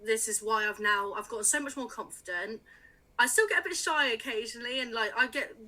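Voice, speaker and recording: Bright-voiced chatty woman in her late twenties. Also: on the high side, a little fast, low-quality recording. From England. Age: late 20s. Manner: chatty, frequent laughs and fillers. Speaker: music writer, podcast guest. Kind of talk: casual interview chat.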